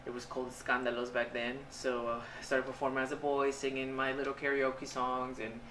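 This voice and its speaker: A man in his thirties from Mexico, telling his life story in a candid, hesitant way with many pauses and ums. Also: mid-range voice, little pitch movement, pushed and bright, a little hiss or hum. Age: 30s. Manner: hesitant, halting, with frequent ums and uhs. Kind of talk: candid personal testimonial. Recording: echoey room, mic at a distance.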